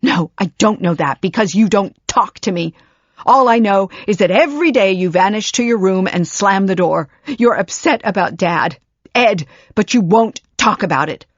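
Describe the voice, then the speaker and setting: A woman's voice: medium pitch, normal speed, dry recording, dull audio, close to an everyday voice. A woman voicing a frantic mother scolding her teenage daughter. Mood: furious. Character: frantic mother, furious with fear.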